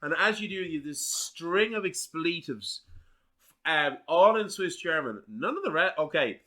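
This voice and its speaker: A man running a tabletop game as game master, narrating scenes slowly and deliberately. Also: a little high, expressive, bright, forceful tone.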